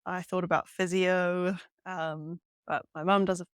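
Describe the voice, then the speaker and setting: A woman's voice: lowish voice, level tone, a little slow, smooth, no echo. Woman, twenties, New Zealand accent, casual, laughs easily, dry room. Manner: chatty, lots of "um" and "like", laughs easily. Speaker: podcast guest, hiking guide. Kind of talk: casual interview chat. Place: hostel room.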